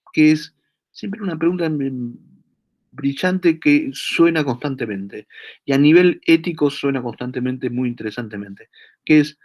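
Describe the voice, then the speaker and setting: A man with an Argentine accent answering questions as a guest lecturer in an academic seminar, speaking thoughtfully with hesitations and frequent tag questions, over a video call. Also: mid-range voice.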